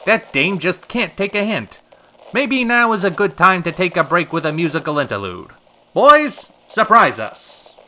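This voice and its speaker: A man's voice: sits high, very animated, a little slow. An actor playing a teasing, showy male host in a 1940s-style radio drama.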